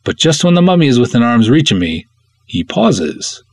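A man's voice: mid-range voice, sing-song, moderate pace, no room sound. An American man from Minnesota with a playful, theatrical manner, reading and hosting expressively as a podcast host. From Minnesota, United States.

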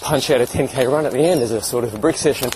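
Australian man chatting over loud outdoor noise. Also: mid-range voice, fast. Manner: blokey, informal, mild swearing. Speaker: podcast host. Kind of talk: casual rambling audio diary. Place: outdoors on an urban street. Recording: noisy outdoor recording with vehicle sounds.

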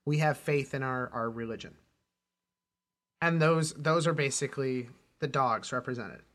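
A man co-hosting a casual film discussion, emphatic but often halting as he searches for words. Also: medium pitch, fairly bright tone. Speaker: podcast co-host.

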